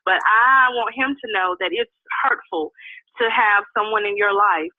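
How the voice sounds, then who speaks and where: A woman's voice: medium pitch, moderate pace, no echo. A woman in her thirties calling in to a podcast, stuffy from a cold, speaking earnestly and firmly. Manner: earnest, firm, open. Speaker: caller. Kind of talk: phoned-in call on a podcast. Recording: tinny dry phone line.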